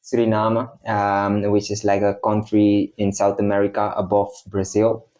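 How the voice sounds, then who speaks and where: A man's voice: on the low side, completely flat delivery, normal speed, a little mellow. A young man from the Netherlands, a guest in casual chat, explaining at length with many hesitations.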